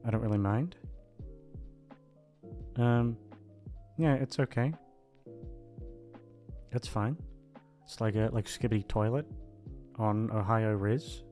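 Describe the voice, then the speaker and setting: A man's voice: on the low side, steady tone, slow, pauses often, mellow. Hesitant, rambling man with music behind. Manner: hesitant, self-deprecating, aimless. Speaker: voice actor signing off to listeners. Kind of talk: casual rambling outro chat.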